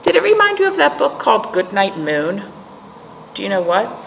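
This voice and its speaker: A woman hosting a storytime for children, reading aloud and chatting in a gentle, playful manner to young listeners. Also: mid-range voice, wildly swooping pitch, normal speed. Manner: gentle, playful, addressing young viewers as friends. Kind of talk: read-aloud and chat for children. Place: indoors, room with aquamarine wall. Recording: echoey room with clear background noise.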